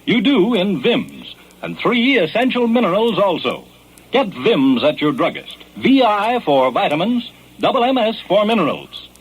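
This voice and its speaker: A man's voice: sits high, very animated, a little slow, slightly rough, rough recording. A man reading a punchy old-time radio advertisement. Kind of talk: punchy vintage radio ad read.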